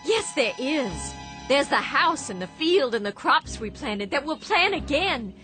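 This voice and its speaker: An actress playing a young farm wife in a radio drama, talking emotionally and pleadingly with halting restarts. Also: female voice, high voice, big pitch swings, bright, forceful tone, soft background music, exaggerated voice.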